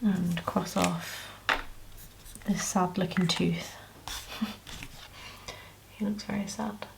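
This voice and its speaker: A British woman with a croaky voice, chatting casually as she counts cash into budget envelopes and clears her throat now and then. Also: lowish voice, fairly even intonation, extremely slow, noisy background. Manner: counting money aloud, chatty asides. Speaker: budgeting vlogger. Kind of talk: casual cash-stuffing commentary.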